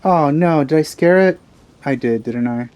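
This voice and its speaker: A man's voice: fairly high pitch, moderate pace, a little mellow. A man giving casual gameplay commentary as a let's play host. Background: game music.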